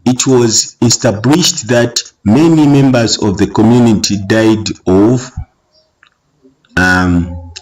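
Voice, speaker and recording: A man from Zambia with a Tonga-influenced Zambian accent, a native Bemba speaker, explaining English exam answers slowly with many fillers. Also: on the low side, low-quality recording. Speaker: teacher. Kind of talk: exam revision lesson.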